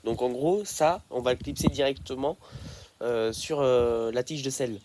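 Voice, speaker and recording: A man's voice: medium pitch, unhurried, noisy background. A man with a head cold explaining a bike repair in a casual, hesitant way, full of fillers and restarts. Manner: hesitant and rambling, many fillers. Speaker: bike repair tutorial maker.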